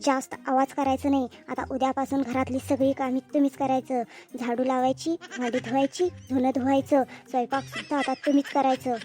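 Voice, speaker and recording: Woman playing a high-voiced, brazen young bride. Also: level tone, a little fast, dark tone, soft background music, lightly acted. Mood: defiant, bossy. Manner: bossy, brazen. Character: cheeky, defiant young daughter-in-law, lazy and bossy. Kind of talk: comedy cartoon dialogue.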